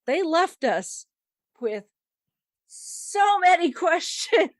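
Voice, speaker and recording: A woman chatting casually about films as a podcast panel host, often halting and trailing off mid-sentence. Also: very high-pitched, very animated, no echo, studio quality. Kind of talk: casual film discussion.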